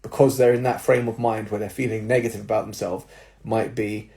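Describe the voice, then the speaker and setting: A young man, a personal trainer, giving casual advice to viewers with a slightly nasal, stuffy-nosed voice, explaining things step by step with filler words and quick "right?" checks. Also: on the low side, little pitch movement, a little mellow. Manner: explanatory, with tag questions and fillers. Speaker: personal trainer and jiu-jitsu coach.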